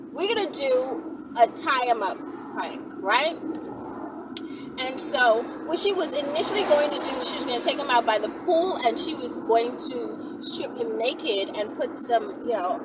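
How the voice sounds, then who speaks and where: A woman telling stories to her viewers in a casual, playful, slangy and animated way while riding in a vehicle. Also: high-pitched. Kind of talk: casual chatty storytelling. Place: moving vehicle while driving. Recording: loud vehicle noise, distant mic. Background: loud road and vehicle noise.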